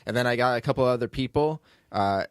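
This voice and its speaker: A man's voice: mid-range voice, fast, smooth, dry recording. A man hosting a podcast as its writer and producer, telling behind-the-scenes stories in a casual, rambling way.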